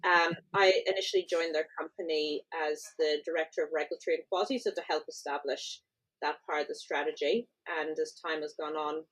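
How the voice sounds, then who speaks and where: A woman in her thirties from Ireland with an Irish accent, a chief executive speaking in a brisk, good-humoured way. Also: sits low, steady tone, low-quality recording. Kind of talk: podcast interview.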